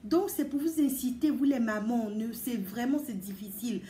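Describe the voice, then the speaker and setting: An earnest woman talks straight to her viewers, pressing her points by repeating them. Her voice sits fairly high but sounds mellow, and the room around her is echoey. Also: normal speed, a little hiss or hum. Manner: earnest, emphatic, repeats words and addresses listeners directly. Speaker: vlogger giving advice to mothers. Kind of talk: casual advice talk to viewers.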